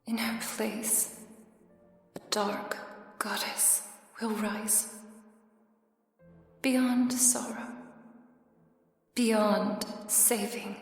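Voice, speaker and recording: A grave, otherworldly female mentor spirit, acted by a woman speaks extremely slowly in a soft, breathy half-whisper. Her fairly high voice is solemn and resolute, heavy with remorse, and it rings with very strong reverb in a fantasy ASMR roleplay. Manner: hushed, slow, deliberate, solemn. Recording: very echoey, long reverb, music behind. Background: music.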